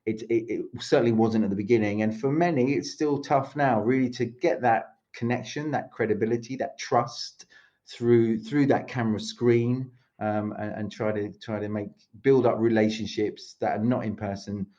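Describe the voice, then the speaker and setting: A man's voice: lowish voice, steady tone, normal speed, dark tone. A man from London with a British accent, a speaker coach giving chatty, rambling interview answers over a video call. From London, England. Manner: chatty, rambling, with frequent restarts. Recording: dull lo-fi call audio.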